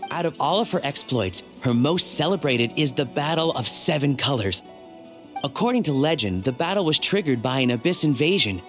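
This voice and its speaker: Actor as a slightly high-voiced, keen researcher explaining lore; game dialogue. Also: male voice, natural voice. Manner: expository, enthusiastic lecturing. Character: adult male researcher, eager history enthusiast. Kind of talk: video game voice acting.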